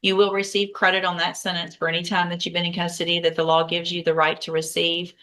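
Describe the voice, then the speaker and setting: A woman judge with a notably low voice runs a courtroom hearing. She is formal, measured and matter-of-fact as she moves through scheduling and plea questions. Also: completely flat delivery, pushed and bright. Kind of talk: courtroom proceedings.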